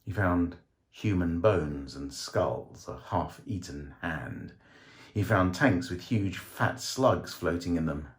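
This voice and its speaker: A man's voice: sits low, expressive, slow. A man reading a short story aloud as a dramatic scripted narration.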